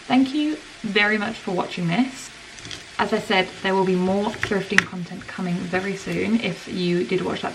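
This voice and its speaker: Young woman, twenties, chatty rambling vlog talk, slightly low pitch, far from the mic, sizzling frying pan behind. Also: vocal fry, background noise. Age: 20s. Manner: rambling and chatty, lots of "like" fillers. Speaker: vlogger. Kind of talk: casual chat to camera. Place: home kitchen while cooking dinner. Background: frying food sizzling.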